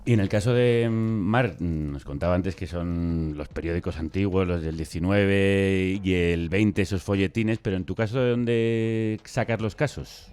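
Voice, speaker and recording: A man's voice: deep voice, unhurried, hardly any pauses, darkish tone, clear, resonant tone. A man with an accent from Spain, hosting and asking questions in a conversational radio style. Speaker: host.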